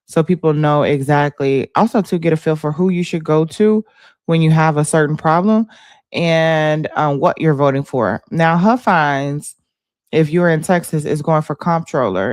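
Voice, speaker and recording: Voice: mid-range voice, no room sound. An American livestream host chatting casually about politics in an informal, conversational way.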